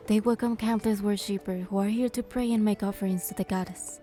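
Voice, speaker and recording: A woman's voice: mid-range voice, fairly even intonation, fairly quick, dark tone, soft background music, lightly acted. A woman voicing a proud goddess in a game, delivering dramatic, solemn scripted narration. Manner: solemn, storytelling. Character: wounded goddess narrating her suffering with pride.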